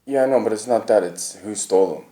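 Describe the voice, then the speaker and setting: A man's voice: lowish voice, fast, mellow. A man in casual conversation, insistent and argumentative. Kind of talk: casual chat. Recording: echoey room.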